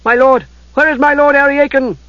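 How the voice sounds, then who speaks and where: A man's voice: very high-pitched, moderate pace, dry recording, muffled recording, voice pushed up. A man voicing a frightened young squire, breathless and awestruck. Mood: panicked. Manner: gasping, awestruck, urgent.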